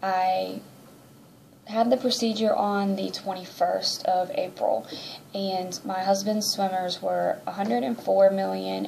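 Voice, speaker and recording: A woman's voice: medium pitch, gravelly, warm tone, a little hiss or hum, low-quality recording. A woman in her thirties giving a chatty, rambling personal update to camera, sounding congested and a little nasal from allergies. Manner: chatty, rambling with many ums. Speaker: vlogger.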